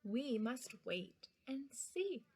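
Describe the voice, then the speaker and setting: A woman voicing a gentle, reassuring mother cat who explains patiently in a children's storybook. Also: sits high, big pitch swings, drawn-out words, husky, full character voice. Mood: soothing.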